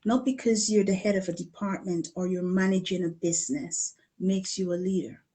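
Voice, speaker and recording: A middle-aged woman from Guyana who has lived in the UK, presenting a coaching talk in deliberate phrases, repeating words for emphasis. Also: lowish voice, steady tone, warm tone. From Guyana, later living in the UK. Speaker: leadership coach presenting a challenge. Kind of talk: live online coaching talk from slides.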